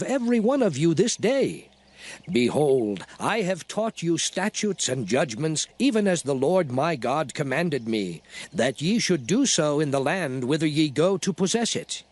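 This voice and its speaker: An actor playing a solemn biblical prophet, declaiming scripture to his people in archaic, oratorical style. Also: on the high side. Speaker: dramatized scripture reader. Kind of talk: dramatized King James Bible reading.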